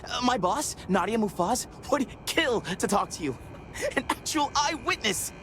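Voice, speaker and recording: An actor playing an eager, awkward young news intern in a video game, stammering and excitable. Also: male voice, very high-pitched, unhurried, soft background music, full character voice. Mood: excited, awkward.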